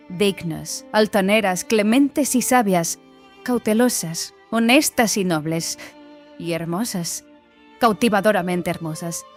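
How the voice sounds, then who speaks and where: An actress playing an earnest, argumentative companion to a scholar in an audiobook drama, speaking emphatically and eloquently. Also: female voice, mid-range voice, darkish tone, lightly acted. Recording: music behind the voice.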